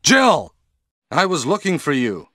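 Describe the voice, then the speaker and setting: Bright, forceful blustery team-mate. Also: male voice, on the high side, huge pitch swings, unhurried, dry recording, studio quality, heavily acted. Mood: blustery, sheepish. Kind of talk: stilted 1990s video game voice acting.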